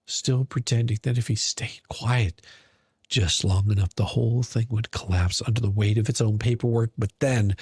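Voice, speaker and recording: Theatrical male storyteller with a gravelly creak. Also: mid-range voice, no room sound, studio quality. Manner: mocking, theatrical, with deliberate pauses. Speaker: podcast narrator. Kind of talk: dramatic scripted storytelling.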